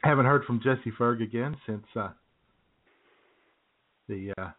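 A man co-hosting an online talk show, trading jokey, deadpan banter in a mid-pitched voice. The audio is thin and phone-like. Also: unhurried. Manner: jokey, deadpan, laughs at his own lines. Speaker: co-host of an internet radio show. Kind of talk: casual banter on a call-in radio show. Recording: tinny phone-line internet radio audio.

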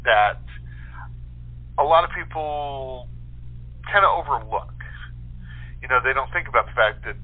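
A man chatting casually as an interview guest, explaining his writing with enthusiasm over the telephone. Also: fairly low pitch. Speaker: guest playwright. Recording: muffled narrow phone line.

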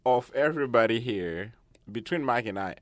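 A man's voice: mid-range voice, expressive, moderate pace, no echo. Zimbabwean man, hesitant casual co-host. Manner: hesitant, many fillers. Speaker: podcast co-host. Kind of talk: casual chat. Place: studio.

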